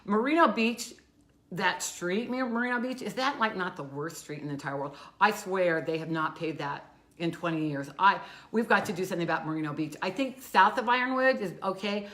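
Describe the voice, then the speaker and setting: An older woman with a loud, bright, forceful voice co-hosts a casual live stream. She talks in a rambling, chatty way, keeps cutting herself off and starting again, and her speech sits a little low. The sound comes from a phone camera with no clip-on mic. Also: a little fast. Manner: rambling, self-interrupting, chatty. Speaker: co-host.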